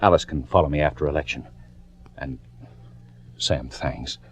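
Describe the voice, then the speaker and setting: An actor playing an earnest, trusting wronged man in a radio drama, halting and subdued. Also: a bass voice, slightly rough, heavily acted. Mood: resigned, grateful. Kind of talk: 1940s radio acting.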